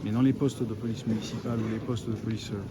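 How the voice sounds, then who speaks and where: A man giving explanatory interview answers as a local official, with hesitant pauses. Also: lowish voice, flat delivery, heavy background noise. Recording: loud crowd chatter around the voice. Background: busy crowd chatter.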